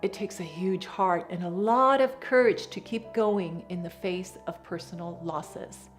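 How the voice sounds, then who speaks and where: A woman's voice: lowish voice, a little slow, music in the background. A woman delivering scripted documentary narration as the film's narrator.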